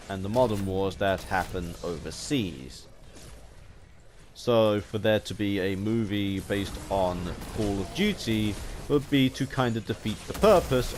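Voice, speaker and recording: A British man with a fairly low voice delivers unscripted gaming commentary. He talks in a halting, rambling way, circling back to repeat and reword phrases. A faint layer of background noise sits under the voice. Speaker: video game vlogger.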